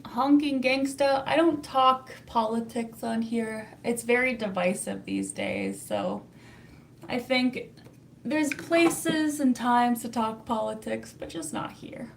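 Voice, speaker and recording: A woman's voice: fairly high pitch, slow pace, gravelly, a little hiss or hum. A young Canadian woman with a Canadian accent, hosting a casual livestream, chatty and laughing often as she answers viewer comments. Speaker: livestream host reading chat.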